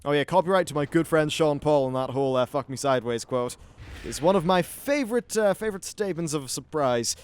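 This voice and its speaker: A man's voice: on the high side, animated, fairly quick, clear, resonant tone. A man hosting a gaming video, giving casual, informal gameplay commentary with some swearing.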